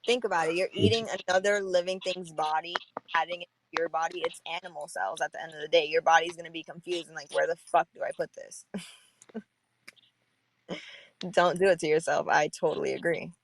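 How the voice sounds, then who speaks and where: A woman's voice: on the low side, steady tone, fairly quick, no room sound, poor audio. A young woman hosting a podcast in casual chat, talking freely, laughing often and saying "like" a lot. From New York. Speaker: podcast host. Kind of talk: casual chat on a live audio panel.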